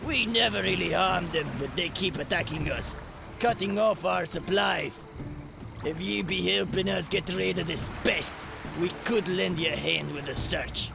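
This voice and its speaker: A man's voice: high-pitched, unhurried, vocal fry, full character voice. A man voicing a hearty, helpful dwarf in a fantasy game, with folksy, old-fashioned phrasing. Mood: gruff, businesslike. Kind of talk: fantasy game cutscene acting. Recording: loud music behind the voice.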